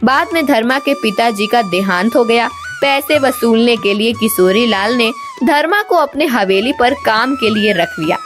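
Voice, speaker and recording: Expressive woman storyteller, higher-pitched. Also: a little slow, few pauses. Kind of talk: scripted children's story narration. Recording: music bed behind the voice.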